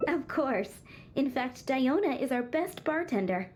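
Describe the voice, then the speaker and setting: An actress playing a cheerful young tavern hostess in a video game, with a sweet, playful manner. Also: female voice, high voice, expressive, a little slow, a little mellow, a little hiss or hum. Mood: playful, pleased. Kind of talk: video game character acting.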